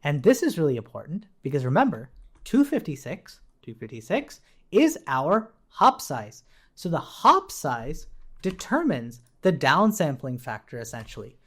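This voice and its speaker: A man's voice: a little high, wildly swooping pitch, a little slow, fairly bright tone. A man giving an informal, explanatory coding walkthrough as an instructor, thinking aloud as he goes. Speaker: programming tutorial instructor. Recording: faint background noise, keyboard typing clicks. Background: computer keyboard typing and clicks.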